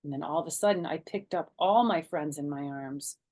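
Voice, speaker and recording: A woman reading a children's chapter book aloud as a storytime narrator, animated and dramatic in her telling. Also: low voice, a little fast.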